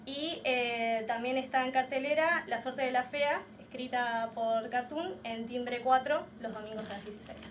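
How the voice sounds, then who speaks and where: A woman's voice: on the high side, moderate pace, few pauses. A woman with an Argentine accent giving a semi-prepared introduction as interviewer at a public talk. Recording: slightly distant mic, background noise.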